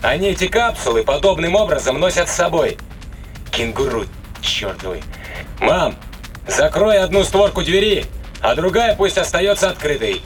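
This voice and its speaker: An actor playing a terse, tough spaceship captain in an audio drama, with a curt, commanding manner. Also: male voice, on the high side, very animated, moderate pace, soft background music. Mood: irritated. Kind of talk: acted sci-fi radio drama dialogue.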